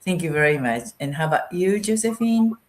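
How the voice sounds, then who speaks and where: A woman from Japan whose first language is Japanese, introducing herself in halting English with many fillers. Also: on the low side, expressive, very noisy. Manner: hesitant, halting non-native English with fillers. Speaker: guest presenter.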